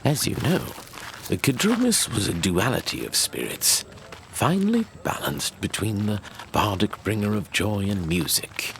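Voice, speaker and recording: A man playing a measured, knowing storyteller of dark fantasy lore, speaking with deliberate dramatic emphasis. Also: fairly low pitch, big pitch swings, vocal fry, a little hiss or hum, exaggerated voice. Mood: grave storytelling.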